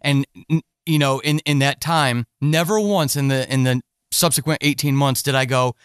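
A man's voice: medium pitch, normal speed, clear, resonant tone, dry recording, clean studio sound. A man hosting a casual podcast interview, talking in an earnest, rambling way.

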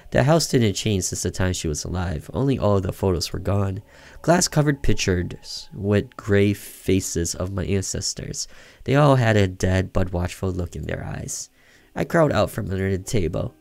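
A man reading a story aloud as expressive narration for a game, occasionally stumbling over the words. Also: low-pitched, creaky. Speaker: gaming streamer reading a visual novel aloud. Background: music under the voice.

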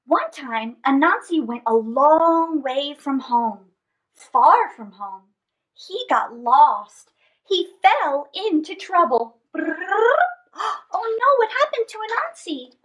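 A middle-aged woman hosting a children's story time, reading and chatting in an animated, theatrical way. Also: sits high, poor audio. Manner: animated, theatrical, with dramatic gasps and stretched words. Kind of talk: expressive picture-book read-aloud and chat to children. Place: small indoor space with draped fabric backdrop.

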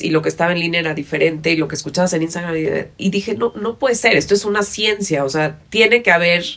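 Mexican woman, thirties, podcast guest, conversational, gravelly creak. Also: lowish voice. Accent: Mexican Spanish. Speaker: podcast guest, fitness instructor. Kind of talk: casual conversational interview. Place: small padded podcast studio. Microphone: studio microphone on boom arm.

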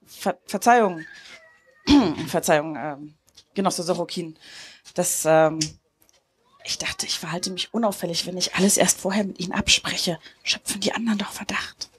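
A woman's voice: on the low side, moderate pace, natural voice. A woman playing a nervous, stammering pianist and secret agent, hesitantly making excuses in a live radio play. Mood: nervous, deferential. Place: outdoor festival stage under a canopy. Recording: noisy live festival stage recording.

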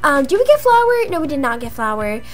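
A young woman acting out improvised roleplay dialogue for a video game, in an animated manner with drawn-out words. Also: high voice. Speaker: gaming video creator voicing roleplay characters. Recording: music behind the voice. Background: music.

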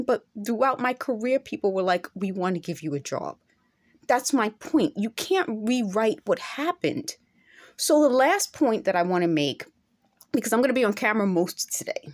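A middle-aged woman talking straight to her phone camera at home, earnest and insistent, returning again and again to her points. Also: mid-range voice. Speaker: vlogger. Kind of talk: direct-to-camera monologue. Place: indoor room at home. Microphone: phone camera held close.

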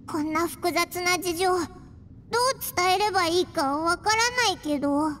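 A squeaky fairy sidekick. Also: female voice, fairly even intonation, moderate pace, noisy background. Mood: chirpy. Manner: blunt boyish sentence endings. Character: tiny chirpy fairy companion, brash and excitable. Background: game music.

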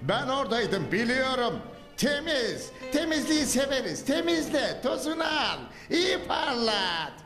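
A scheming bald cartoon villain lectures his dirt-creature army with grandiose contempt. His voice sits very high. It rings out in a long, echoey reverb under music. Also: big pitch swings, a little slow, full character voice. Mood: commanding. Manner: grandiose, scornful speeches to his troops. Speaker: cartoon dub voice actor.

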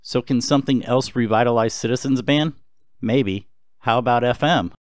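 A man narrating an explainer in a calm, explanatory way. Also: medium pitch, moderate pace, no room sound, clean studio sound. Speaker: host. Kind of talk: scripted narration.

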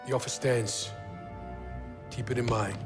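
A man playing an impassioned rebel partisan leader in a video game, speaking with solemn, halting oratory. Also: medium pitch, fairly even intonation, close to an everyday voice. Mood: earnest. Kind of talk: dramatic video game acting. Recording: loud music behind the voice.

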